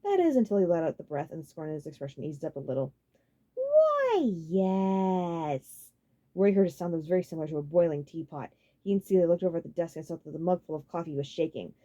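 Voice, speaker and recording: A woman reading a story aloud as an audiobook narrator, in an expressive, dramatic style. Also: deep voice, racing, hardly any pauses, muffled recording.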